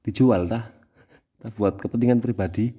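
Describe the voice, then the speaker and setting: A man's voice: fairly low pitch, normal speed, warm tone, highs cut off. A man with a Javanese Indonesian accent, giving a casual how-to demonstration as a tutorial host. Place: indoors at home, small room.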